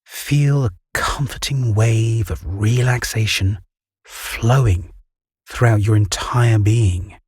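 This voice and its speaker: A British man guiding a meditation in a calm, gentle, soothing voice with measured pauses and a soft, breathy tone. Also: on the low side, no echo, studio quality. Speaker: meditation guide. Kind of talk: guided meditation narration.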